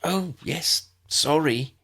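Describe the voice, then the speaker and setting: A man's voice: on the high side, drawn-out words, pushed and bright, slightly rough, pitched above the performer's own voice, full character voice. A man voicing a curious, polite young girl in a storybook, innocent and questioning. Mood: apologetic, earnest.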